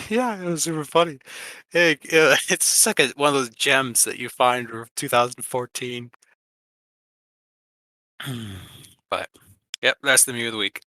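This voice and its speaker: A man co-hosting a podcast, chatting casually in a halting, easily amused way. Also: mid-range voice, bright, forceful tone. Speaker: podcast co-host. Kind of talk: casual chat.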